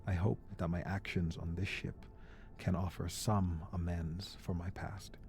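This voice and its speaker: A man's voice: low voice, level tone, a little slow, vocal fry, darkish tone. An actor playing an earnest, remorseful man, a deserter and ship's medic, in a dramatic video game.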